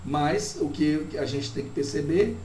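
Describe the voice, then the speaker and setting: High-voiced Bahian professor lecturing, roomy sound. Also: male voice, measured pace. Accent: Bahian Brazilian Portuguese. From Bahia, Brazil. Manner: didactic, reflective, frequent "né" tag questions. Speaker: university professor. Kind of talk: academic lecture. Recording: roomy, distant mic with faint noise.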